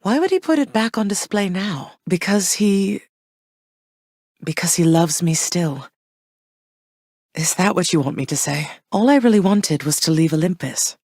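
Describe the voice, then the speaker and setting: An actress voicing Persephone, a gentle, wistful queen of the underworld and a mother, in soft, measured, tender tones. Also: lowish voice, animated, no echo, clean studio sound. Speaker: game character. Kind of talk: game voice lines.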